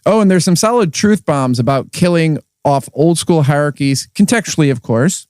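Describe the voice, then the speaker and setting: A man's voice: on the high side, lively intonation, normal speed, darkish tone, no echo. Chatty man podcast host, dry sound. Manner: chatty, with restarts and hedges. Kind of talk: casual interview chat. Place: conference venue.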